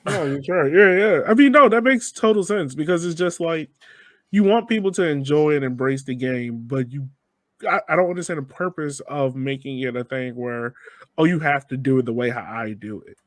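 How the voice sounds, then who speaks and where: A man's voice: on the high side, expressive, normal speed, no echo. A man hosting a casual podcast conversation, agreeable and reflective, with long, wordy questions and replies. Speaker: podcast host.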